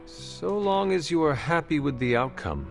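A man's voice: fairly high pitch, sing-song, in a large hall, soft background music. A man playing an earnest, composed warrior hero in a video game, formal and polite. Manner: formal, measured, polite, without contractions. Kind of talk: dramatic video game dialogue.

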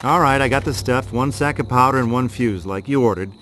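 An actor playing a cocky, irritable, wisecracking middle-aged action hero in a video game, sarcastic and slangy. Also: male voice, mid-range voice, brisk, fairly bright tone, a little hiss or hum, lightly acted. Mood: brash, dry.

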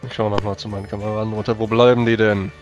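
A man's voice: on the low side, flat delivery, rapid-fire, highs cut off. A man playing a tabletop role-playing game, casually describing and speaking his character's actions. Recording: music under the voice. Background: background music.

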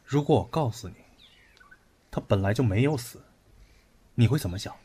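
An actor playing a calm, analytical young man in an audio drama, speaking in a measured, matter-of-fact way. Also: medium pitch, slight background noise, lightly acted. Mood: grave.

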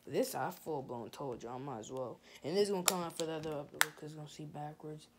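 A man's voice: a little high, quick pace, heavy background noise. A teenage boy vlogging in a small bedroom into a phone camera held close. He talks in a loose, rambling way, full of "like", and his unsteady voice tends to crack. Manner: rambling, full of "like", drifts off topic. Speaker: gaming channel vlogger. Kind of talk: rambling casual vlog.

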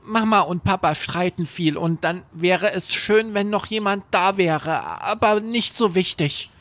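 Young boy , acted by a man: high, slow, simple earnest talk, a little worried, bedtime audio story. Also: fairly bright tone.

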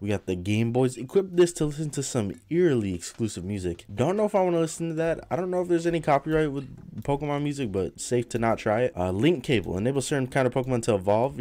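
A man's voice: medium pitch, a little mellow. A young man casually commenting on a game as he plays it, his voice worn from having recently lost it. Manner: casual, rambling, talks to chat while playing. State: recovering from lost voice. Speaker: gaming streamer.

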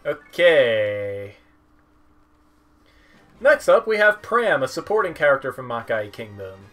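A man with a mid-pitched voice gives casual commentary on a video game. He talks haltingly, trailing off and slipping in wry asides. Clear game music plays behind him. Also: very animated, fairly bright tone. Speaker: game streamer. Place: small room at home, indoors.

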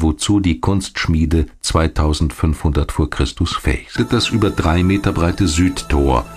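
A male documentary narrator with a deep, low-pitched voice. He reads scripted material in a measured, factual way, setting out each sentence as information.

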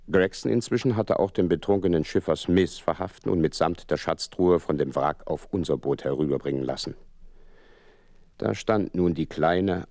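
An actor playing an earnest gentleman doctor who narrates a detective radio play in measured, literary phrases. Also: low-pitched, vocal fry, no echo, studio quality. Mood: grave, measured.

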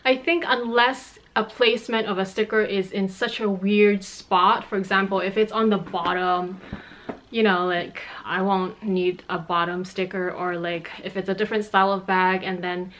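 A woman's voice: medium pitch, steady tone, moderate pace, fairly bright tone, a little hiss or hum. A woman in her thirties from Canada whose first language is Cantonese, chatting through a fashion Q&A vlog at home and laughing often. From Montreal, Canada. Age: 30s. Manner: chatty, explains with hand gestures, laughs often. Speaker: fashion YouTuber. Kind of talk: Q&A vlog chat. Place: bedroom in a home, indoors.

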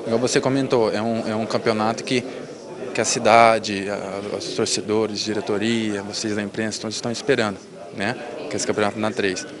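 A young Brazilian football player, a man with a low, flat voice. He speaks in a steady, measured way as crowd chatter fills the background. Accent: Brazilian Portuguese. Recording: crowd noise behind.

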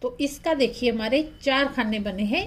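A woman's voice: a little high, normal speed. A woman hosting a craft tutorial, narrating step by step in a plain, instructional manner.

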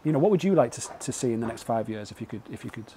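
A man's voice: mid-range voice, expressive, rapid-fire, warm tone, slight background noise. A man, chatting casually as a podcast host. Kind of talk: casual interview chat. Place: small log cabin. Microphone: close podcast mic on boom arm.

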